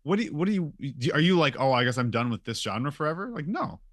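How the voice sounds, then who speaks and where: A man's voice: on the high side, sing-song, fast talker, no room sound. A man hosting a casual podcast, speaking informally, jumping in and acting out imagined quotes as he makes his point. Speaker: podcast host.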